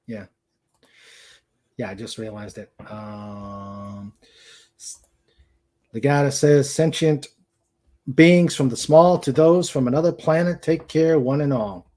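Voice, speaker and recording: A man hosting a casual livestream, speaking in a halting way as he searches for words. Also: medium pitch, mellow. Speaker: host of a live-streamed show.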